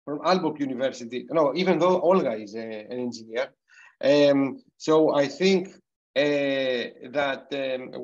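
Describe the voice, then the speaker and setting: A middle-aged man with a non-native English accent hosts an online webinar, introducing a guest with many fillers and restarts over a video call. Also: mid-range voice. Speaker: host of an online university webinar. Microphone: computer mic on a video call. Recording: dull, muffled video call audio.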